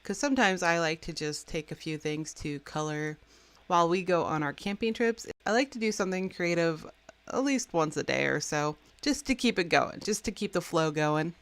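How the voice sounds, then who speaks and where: A young woman, a little raspy from having just woken up, chats through a card-making tutorial in a voice-over, messy and self-deprecating. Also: on the low side, moderate pace. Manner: chatty, self-deprecating, with a few restarts. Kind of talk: casual voice-over craft tutorial.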